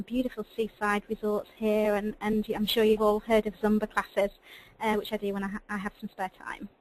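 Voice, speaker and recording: A woman with a Yorkshire accent co-presenting a webinar, halting and repeating her words as she introduces herself. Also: medium pitch, a little hiss or hum, low-quality recording. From Yorkshire, England.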